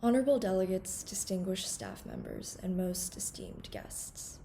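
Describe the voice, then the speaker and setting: A teenage woman from Hong Kong delivering a prepared welcome speech at a student conference in an earnest, formal, measured way. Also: fairly low pitch, wildly swooping pitch, vocal fry, warm tone, a little hiss or hum. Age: teens. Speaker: conference undersecretary general giving a welcome speech.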